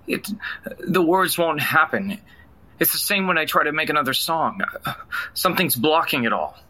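A haunted young guitarist husband in an audio drama. His voice is bright and tense, and he talks haltingly, evasive and searching for words. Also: fairly high pitch, lively intonation, exaggerated voice. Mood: anguished, halting. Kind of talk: naturalistic audio drama acting.

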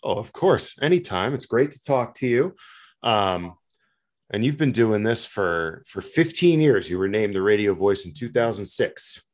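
A man's voice: fairly low pitch, lively intonation, a little slow. A man co-hosting a sports podcast, chatting casually and putting questions to a guest. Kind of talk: casual sports chat and interviewing.

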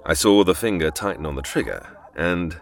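A man's voice: low-pitched, expressive, normal speed, slight background noise. A man playing a suave, courteous, observant leading man in an audio drama. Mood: wry, storytelling. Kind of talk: audio drama narration and acting.

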